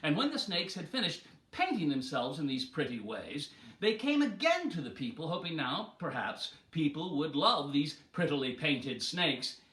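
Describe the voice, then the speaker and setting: A man with a high, bright voice tells a folktale like a stage performer. He takes his time and shapes each phrase dramatically. The sound is roomy and echoing. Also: very animated. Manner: dramatic, deliberate delivery with acted voices. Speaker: storyteller. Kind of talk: theatrical storytelling of an animal folktale.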